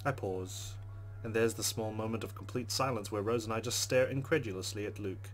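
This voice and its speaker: A man's voice: lowish voice, a little fast. A man hosting a let's play, reading game narration aloud expressively and adding casual commentary. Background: game music.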